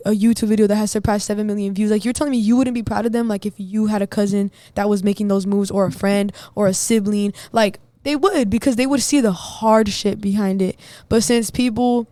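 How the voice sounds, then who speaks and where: A young woman with a deep voice talks bluntly and defiantly, full of slang, as a guest on a podcast. Her pitch sits in an ordinary middle range, and the sound is close and dry, with almost no room echo. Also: a little mellow. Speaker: singer and guest. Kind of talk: casual podcast chat.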